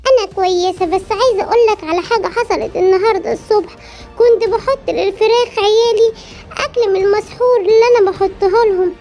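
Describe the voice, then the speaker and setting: A woman's voice: extremely high pitch, quick pace, darkish tone. An Egyptian woman voicing a worried mother hen who pleads for help in a cartoon. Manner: anxious, pleading.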